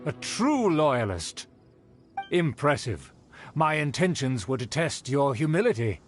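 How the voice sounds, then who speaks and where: A man's voice: medium pitch, big pitch swings, measured pace, soft background music. Haughty nobleman, wildly theatrical. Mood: impressed, grandiose. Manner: pompous, formal, theatrical. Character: haughty, pompous male aristocrat, condescending and vain. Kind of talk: video game character acting.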